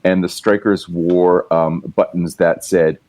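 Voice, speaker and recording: Deep-voiced laid-back storyteller man. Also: fairly even intonation, a little slow, a little mellow, no echo. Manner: rambling storyteller, laid-back, swears casually. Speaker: guest musician and writer. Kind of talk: casual podcast interview. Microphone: remote call mic.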